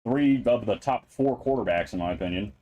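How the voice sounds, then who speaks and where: A man's voice: lowish voice, fairly quick, dark tone, poor audio. A man co-hosting a live card break, bantering about football and laughing often. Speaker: co-host. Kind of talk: casual live-stream banter.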